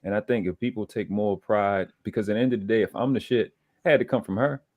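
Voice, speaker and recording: A man's voice: lowish voice, fast, darkish tone, dry recording, studio quality. A man in his thirties talking earnestly and reflectively as a panelist in a casual live-stream discussion. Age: 30s.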